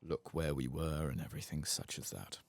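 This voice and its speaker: A man giving casual, rambling, wry and sweary soft-spoken ASMR commentary on a game, close to the mic. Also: extremely low pitch, fairly even intonation, a little fast, vocal fry, no echo. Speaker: host of a game playthrough channel.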